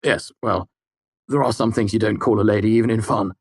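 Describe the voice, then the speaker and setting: A man's voice: fairly low pitch, fairly even intonation, fairly quick, slightly rough, dry recording, clean studio sound, pitched above the performer's own voice. A man voicing a shy, bookish young man who talks earnestly. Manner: earnest, slightly flustered.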